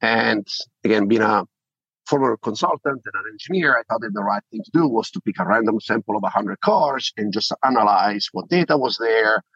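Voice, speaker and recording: A man's voice: lowish voice, fairly quick, a bit bright, breathy edge, dry recording, muffled recording. A middle-aged man with an Italian accent, a podcast guest talking animatedly and often repeating words as he restarts. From Italy. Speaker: podcast guest, company founder. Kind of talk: interview conversation.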